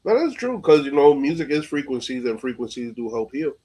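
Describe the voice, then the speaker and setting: A man's voice: medium pitch, very animated, brisk, warm tone, husky. Casual New man hosting an interview. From New York, USA. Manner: informal slangy phrasing, peppered with "like" and "you know". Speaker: podcast host interviewer.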